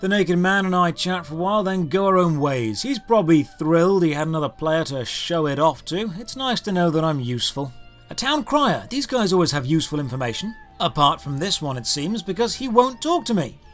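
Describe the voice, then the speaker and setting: A man's voice: fairly high pitch, expressive, fairly quick, fairly bright tone. A man giving scripted, comedic game review narration in a sardonic, exasperated manner. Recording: music behind the voice. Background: background music.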